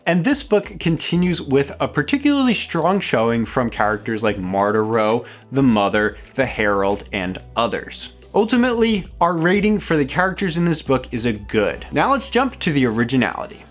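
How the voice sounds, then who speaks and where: A man's voice: medium pitch, big pitch swings, moderate pace, few pauses, faint music behind. A man hosting a review video, reading scripted commentary as a content creator.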